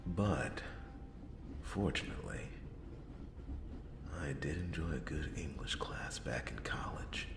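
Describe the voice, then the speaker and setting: A weary, hardboiled noir detective acted by a man broods through his story in an extremely deep, gravelly murmur. He speaks very slowly and haltingly, half whispering, with long pauses, in first-person ASMR roleplay style. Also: animated, heavily acted. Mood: wry, disbelieving. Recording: roomy echo under clear music.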